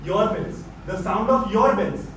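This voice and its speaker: A man's voice: sits high, a little slow, a bit bright. A man with an Indian accent playing a stern, controlling old father-in-law in a stage play, authoritative and disapproving. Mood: angry, scornful. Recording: very echoey, distant stage recording with noise.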